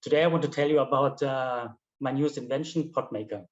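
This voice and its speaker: A man from Germany with a German accent, giving a rehearsed, earnest product pitch and demonstrating a device. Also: quick pace. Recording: roomy, dull lo-fi sound.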